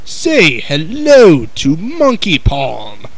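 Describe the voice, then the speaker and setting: A man voicing a furious gorilla in a storybook, with slow, emphatic, booming catchphrases. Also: huge pitch swings, background noise, exaggerated voice. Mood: triumphant. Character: furious male gorilla delivering brawling catchphrases.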